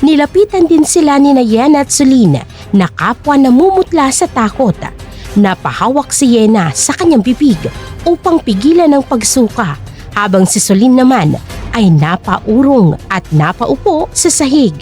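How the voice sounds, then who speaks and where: A woman's voice: sits high, very animated, measured pace, dark tone, soft background music. A woman narrating a scripted story in a dramatic storytelling style.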